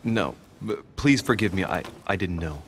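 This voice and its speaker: An actor playing a persistent, earnest young investigator from a secret society in a video game, coaxing and insistent. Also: male voice, low voice, expressive, normal speed, fairly bright tone. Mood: apologetic. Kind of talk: dramatic video game dialogue. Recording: music under the voice.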